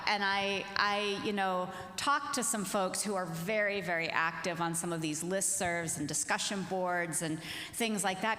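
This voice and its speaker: A woman's voice: lowish voice, a bit bright. A woman speaking as an author and journalist on a panel, in an earnest, informal, conversational way. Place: large reverberant festival venue. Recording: echoey hall with long reverb.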